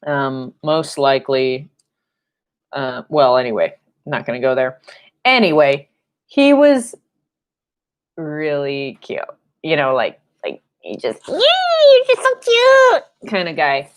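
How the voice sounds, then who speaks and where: A young woman with a notably low, deep-set voice talks at an unhurried pace while she paints. She rambles in a friendly, chatty way, full of fillers. She is heard through a camera mic a little way off in a room at home. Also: wildly swooping pitch. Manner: rambling and chatty, with fillers and playful sound effects. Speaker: painting instructor. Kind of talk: live-stream painting lesson with chatty asides. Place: home studio room, indoors.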